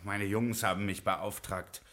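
An actor playing a smooth-talking Prohibition gangster boss in a 1950s radio crime play, persuasive and jovial. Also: male voice, lowish voice, steady tone, unhurried, a bit bright.

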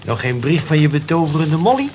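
Teasing office colleague, highish male voice, lively swoops, nosy, radio play, dull noisy recording. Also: fairly quick. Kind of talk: radio drama dialogue.

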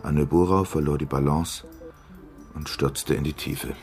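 An actor in a radio drama playing a male reporter who reads out a sober, measured, declamatory exposé. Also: a bass voice, steady tone, darkish tone, soft background music. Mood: sober, accusatory. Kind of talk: scripted narration in a radio drama.

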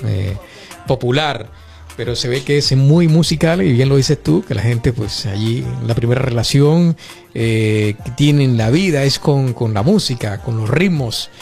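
Man, interview host, mid-pitched, noticeable vocal fry, courteous, measured questions with pauses, soft music behind. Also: animated. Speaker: radio or show interviewer. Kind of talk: conversational interview.

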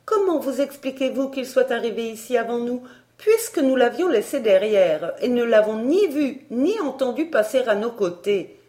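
A woman's voice: fairly high pitch, expressive, normal speed, mellow, pitched above the performer's own voice, close to an everyday voice. A woman voicing a sour, indignant, paranoid governess in a storybook, with a theatrical, scolding manner. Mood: anxious, insistent.

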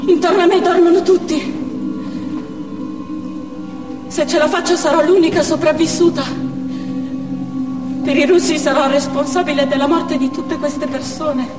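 A woman's voice: high-pitched, steady tone, normal speed, long pauses, lightly acted. An actress playing a desperate, defiant female Chechen militant in a declamatory theatrical monologue. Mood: anguished, urgent. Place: theatre stage, picked up from afar. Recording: distant echoey theatre hall with music.

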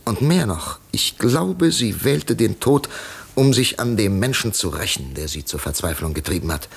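A man playing a troubled, grieving gentleman in a 1950s German radio play, halting, emotional and dramatic in his delivery. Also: fairly low pitch, animated, vocal fry, a little hiss or hum. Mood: haunted, agitated. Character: troubled, grieving wealthy gentleman, agitated and haunted.

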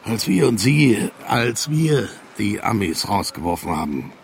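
A jovial old East German colonel in retirement, from a radio play. The voice is lowish and gravelly, swinging widely as he exclaims and reminisces. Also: very animated, measured pace, a little hiss or hum, full character voice. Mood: animated, proud. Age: older. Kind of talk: radio drama acting.

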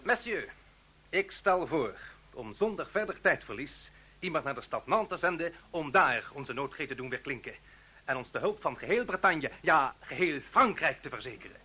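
An actor playing a smooth-talking French student chairman, addressing an assembly in formal, flowery oratory in a radio drama. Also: fairly high pitch, expressive, normal speed, slight background noise, close to an everyday voice. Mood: earnest, rousing. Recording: dull lo-fi radio recording, crowd. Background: crowd.

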